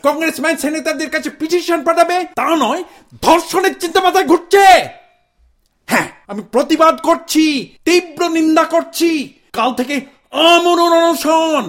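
A man's voice: very high voice, normal speed, a bit bright. A man acting in a radio play as a self-important, indignant middle-aged Bengali father who declaims and hectors like a zealous protester.

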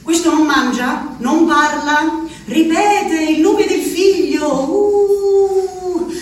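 Very high-voiced, anguished Abruzzese asylum inmate. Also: female voice, full character voice. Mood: troubled, agitated. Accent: central-southern Italian, Abruzzese. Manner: rustic, halting, tagging phrases with "eh". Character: anguished peasant wife shut in an asylum, pleading. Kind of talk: theatrical monologue, letter spoken aloud. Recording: roomy, distant stage sound, faint noise.

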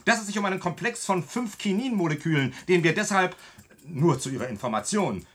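An actor playing an earnest, flustered pharmacologist in a German radio drama, formal, pedantic and defensive. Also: male voice, sits high, expressive, brisk, a bit bright. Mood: earnest, insistent.

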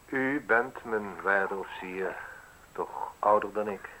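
An actor playing a self-assured, mocking man in his thirties in a radio drama, speaking deliberately with ironic, theatrical pauses. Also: lowish voice, pushed and bright, slight background noise, poor audio. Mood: needling. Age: 30s. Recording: husky-sounding radio play recording.

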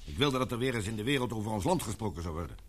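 An actor playing a brisk, grumbling titled boss in a Dutch radio play, blustery and used to giving orders. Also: male voice, fairly low pitch, sing-song. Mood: irritated.

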